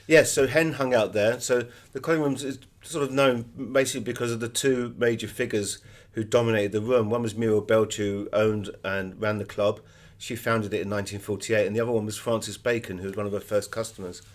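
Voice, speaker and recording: A man's voice: lowish voice, steady tone, fairly quick. A middle-aged British man being interviewed as an author, telling anecdotes in a conversational way.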